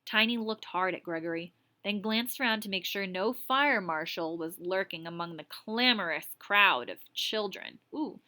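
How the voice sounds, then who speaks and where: A woman with a bright, forward voice reads a story aloud as a teacher would. Her narration is expressive at a middle pitch, and she slips into casual asides to explain things. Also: moderate pace, hardly any pauses. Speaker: teacher reading aloud to students.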